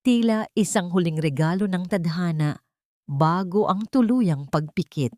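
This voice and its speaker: Low-voiced woman narrating drama, dry studio sound. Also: measured pace, darkish tone, no room sound. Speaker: storyteller. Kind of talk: scripted dramatic Tagalog love-story narration.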